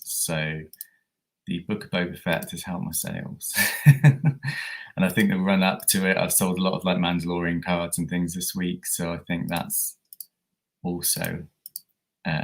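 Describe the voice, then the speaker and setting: A man with a croaky voice from a coming cold, chatting casually with many fillers as he hosts a reselling livestream. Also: sits low, a bit bright. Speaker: eBay reseller livestream host.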